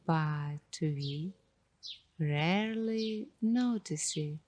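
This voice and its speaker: Female ASMR host, extremely unhurried and hushed, dark mellow tone, dreamy halting phrasing. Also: deep voice, slight background noise. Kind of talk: ASMR guided coloring narration.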